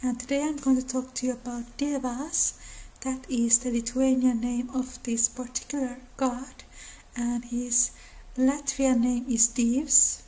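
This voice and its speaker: A woman's voice: on the high side, little pitch movement, rough recording. A woman in her thirties with a Finnish accent, hosting her own mythology channel and explaining folklore to camera in a casual, thoughtful way. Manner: slow, thoughtful, with soft laughs and small restarts. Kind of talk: casual educational talk to camera. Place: living room by a window.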